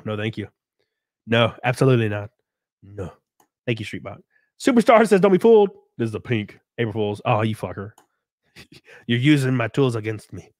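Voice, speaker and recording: A man's voice: medium pitch, no room sound, clean studio sound. Crude joking man with a stuffy cold. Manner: crude, joking, laughs often. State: congested from a cold. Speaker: livestream host. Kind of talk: casual live-stream chat reading donations. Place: small home streaming room. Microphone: studio mic on boom arm, close.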